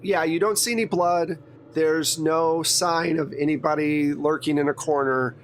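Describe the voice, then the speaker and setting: A man's voice: on the high side, fairly even intonation, measured pace, slight background noise. A man acting as game master, narrating a tabletop horror game in a conversational way.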